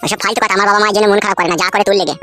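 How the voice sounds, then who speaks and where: Man doing a high, whiny young village husband. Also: rapid-fire, over music, lightly acted. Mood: coaxing. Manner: complaining, crude, excitable. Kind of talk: comic cartoon dialogue.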